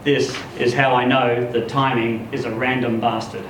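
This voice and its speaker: A man giving an expressive live reading of a first-person literary story, acting out the dialogue as he goes. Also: medium pitch, moderate pace. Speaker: story reader. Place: live reading event in an echoey room. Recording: echoey room, distant mic, noisy.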